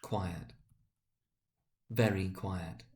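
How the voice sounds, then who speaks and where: A man narrating a literary story dramatically, with expressive, sometimes halting delivery. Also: low voice.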